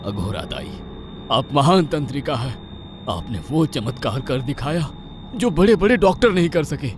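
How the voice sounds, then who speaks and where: A man's voice: on the high side, very animated, moderate pace, noisy background, voice pushed up. A man voicing a greedy, gullible merchant husband in a cartoon horror story, incredulous and eager, over background music. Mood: awed.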